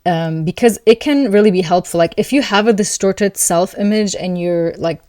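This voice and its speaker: A woman hosting an astrology video, talking calmly and thoughtfully to camera, with soft laughs between thoughts. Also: medium pitch, normal speed, no room sound, studio quality. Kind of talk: casual spoken reflection to camera.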